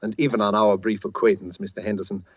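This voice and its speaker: An actor playing a suave, sly blackmailer in a 1940s radio thriller, elaborately polite, wordy and insinuating. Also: male voice, fairly low pitch, level tone, fast, natural voice. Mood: sly, deliberate. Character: suave, courtly male blackmailer, sly and unhurried. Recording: dull vintage radio recording.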